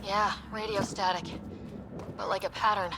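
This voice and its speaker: A woman's voice: medium pitch, fairly even intonation, normal speed, close to an everyday voice. Actress doing a young sci-fi scout lady, level-headed, dry n casual, game ambience noise behind her. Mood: curious. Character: young female recon specialist, level-headed, curious explorer. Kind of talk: naturalistic video game dialogue acting. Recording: game audio over loud ambient noise.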